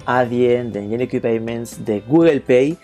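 A man hosting a podcast interview, talking briskly with playful asides. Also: medium pitch, dark tone, faint music behind. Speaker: podcast host.

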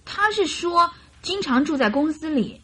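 An actress playing a chatty, naive and carefree young bride in a radio drama, with girlish, animated complaining and coaxing. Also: high-pitched, unhurried. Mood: open, naive.

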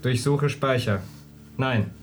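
A player voicing a literal-minded, middle-aged male golem robot who talks in flat, machine-like phrases, with music playing behind. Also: mid-range voice, slow pace, close to an everyday voice. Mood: literal, matter-of-fact.

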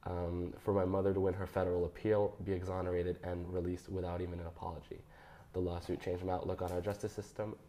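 A young man reading his personal statement aloud in a measured, careful way. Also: low-pitched, completely flat delivery, warm tone, slight background noise. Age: young adult. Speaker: guest.

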